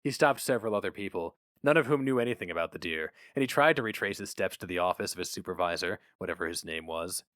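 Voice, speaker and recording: A man's voice: low voice, quick pace, few pauses, a bit bright, no room sound. Man narrating, close and dry. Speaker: story narrator. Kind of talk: dramatic scripted narration.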